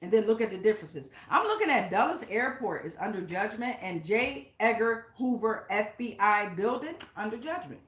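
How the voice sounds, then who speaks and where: A woman's voice: medium pitch, lively intonation, moderate pace, hardly any pauses, fairly bright tone, roomy sound. A woman giving a casual spiritual tarot reading, speaking straight to her viewers in an emphatic, blunt, sweary way. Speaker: tarot reader, channel host. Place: indoors at home.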